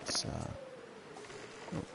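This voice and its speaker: A laid-back man with a gravelly, soothing smoker's voice, giving casual commentary on a survival game as he plays. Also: extremely slow. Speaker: game streamer. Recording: clearly noisy background.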